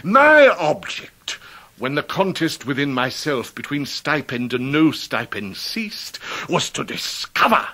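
An actor playing a pompous, indignant, debt-ridden clerk in a radio drama, with grandiloquent, theatrical oratory. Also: male voice, on the high side, very animated, slow, pushed and bright, full character voice. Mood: pompous, aggrieved. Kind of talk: 1990s radio drama acting.

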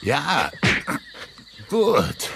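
An actor playing a taunting, boisterous ex-sailor brawler in a German radio play, calling out goading sparring cries. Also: male voice, on the high side, huge pitch swings, very slow, a bit bright, slight background noise, heavily acted. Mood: goading, amused. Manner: goading, laughing, exclamatory sparring calls. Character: burly old one-legged gatekeeper, ex-sailor brawler, taunting, boisterous.

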